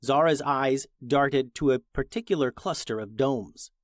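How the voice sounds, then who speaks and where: A man's voice: mid-range voice, a little slow, dry recording. Man narrating stories for kids, dry close sound. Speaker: podcast host and storyteller. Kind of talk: scripted children's story narration.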